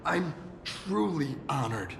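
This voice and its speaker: A man's voice: fairly high pitch, big pitch swings, slow pace, vocal fry, noisy background, full character voice. A man voicing an eager, earnest young hero in an anime-style game, with a gushing, easily flustered manner. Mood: earnest, polite. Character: excitable earnest young male hero-actor, starstruck fan. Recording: long reverb, music behind.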